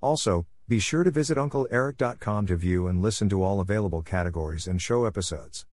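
A man's voice: on the low side, fast talker, a little mellow. A man hosting a podcast, reading a scripted show introduction in a welcoming presenter's manner. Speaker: podcast host.

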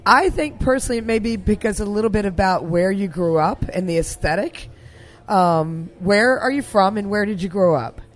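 A female co-host leads the questions with easy enthusiasm. Her voice sits at a medium pitch. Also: animated, moderate pace. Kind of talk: casual interview chat.

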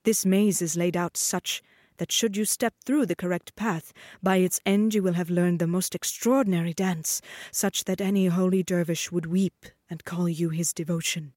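A woman's voice: fairly low pitch, steady tone, moderate pace, darkish tone, no room sound, clean studio sound, close to an everyday voice. A woman playing a possessive enchanted dancing master. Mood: coaxing.

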